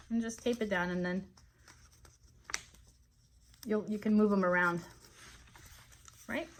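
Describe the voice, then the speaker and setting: Bright, high-voiced woman hosting for kids. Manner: instructional, simple wording, checks in with viewers. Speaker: children's show host. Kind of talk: friendly craft demonstration for children.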